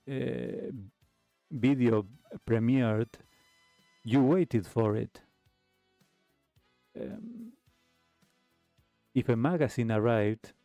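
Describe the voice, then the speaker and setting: Male talk host, reflective and hesitant, unhurried delivery, dry close sound. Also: mid-range voice, dark tone, no echo. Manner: hesitant, halting phrasing with pauses. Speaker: host of a retro radio talk show. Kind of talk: reflective livestream monologue to listeners. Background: background music.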